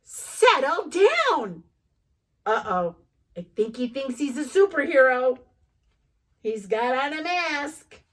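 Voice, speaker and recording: Lively high-voiced preschool teacher reading aloud. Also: female voice, measured pace. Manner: warm, expressive teacher talk to young children. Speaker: speech language therapist. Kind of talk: picture book read-aloud for preschoolers.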